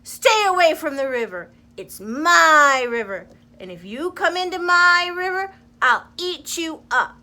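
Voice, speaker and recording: A woman voicing a big, bossy crocodile in a children's storybook, with loud, dramatic delivery. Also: big pitch swings, unhurried, full character voice. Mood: bossy, threatening. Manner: booming shouts and wailing groans. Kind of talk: children's storybook read-aloud.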